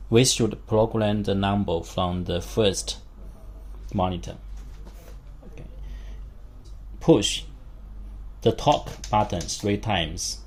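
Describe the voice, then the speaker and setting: A man demonstrating a product step by step in non-native English, speaking in short, halting phrases and simple instructions. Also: sits low, vocal fry, slight background noise.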